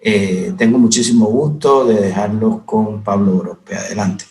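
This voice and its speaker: A man from Cuba moderating a webinar panel, talking in a measured way with many hesitant "eh" fillers. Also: on the low side, fairly even intonation, a little mellow. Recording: echoey room.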